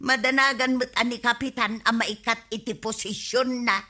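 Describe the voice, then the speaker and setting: A woman's voice: fairly high pitch, steady tone, moderate pace, sharp tone. A woman playing an assertive, meddling village neighbour who complains emphatically in an Ilocano-accented radio comedy drama. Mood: chiding. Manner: pushy, emphatic.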